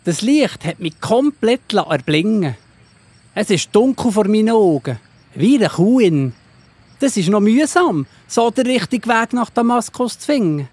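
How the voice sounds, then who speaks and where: A woman's voice: mid-range voice, sing-song, moderate pace, a little mellow, slightly rough, no room sound. A woman voicing Saulus, a stern young man, in a children's audio drama, speaking theatrically with a Swiss German accent. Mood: weary. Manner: exclaiming, theatrical.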